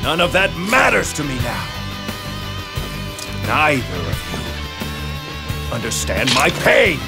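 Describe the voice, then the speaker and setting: An actor playing a grief-stricken, vengeful army lord in a video game, with a gravelly voice. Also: male voice, mid-range voice, wildly swooping pitch, slow pace, music in the background, full character voice. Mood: anguished, vengeful. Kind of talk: dramatic video game cutscene acting.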